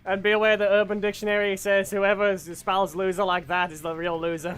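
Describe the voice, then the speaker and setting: A man's voice: sits high, fairly quick. A man giving casual live commentary on a fighting game, calling out moves and mocking opponents. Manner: excitable, calls out moves and trash-talks opponents. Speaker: gaming channel host.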